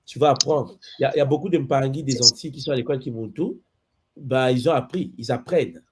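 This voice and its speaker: Calm, husky male spiritual teacher. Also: mid-range voice, fast. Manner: calm, reassuring, addresses caller as maman. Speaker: spiritual teacher hosting the show. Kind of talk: reassuring talk show exchange.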